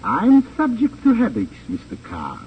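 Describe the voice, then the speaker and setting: Swooping, smug radio crime boss. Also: male voice, sits high, huge pitch swings, full character voice. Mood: oily, courteous. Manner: formal, condescending, drawn-out sly phrasing with chuckles. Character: smug, suave German crime boss swindler, male. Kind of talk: 1950 American radio crime drama acting. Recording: muffled, hissy old radio transcription, distant.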